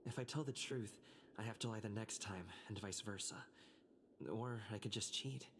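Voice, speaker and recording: Game protagonist: actor as reserved youth musing to themself, hushed, clear noise behind. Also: mid-range voice, normal speed, natural voice. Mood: pondering.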